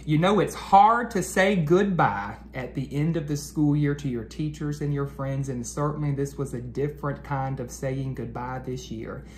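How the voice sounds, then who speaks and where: A man hosting a children's read-aloud, speaking expressively to young listeners with drawn-out storybook phrasing, as an educator. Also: mid-range voice. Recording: noticeable background noise.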